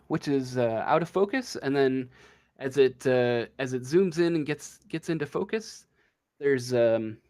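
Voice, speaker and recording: Male game master, slow delivery, hesitant and feeling for words as he improvises the story. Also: medium pitch. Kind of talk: improvised narration of a tabletop role-playing game.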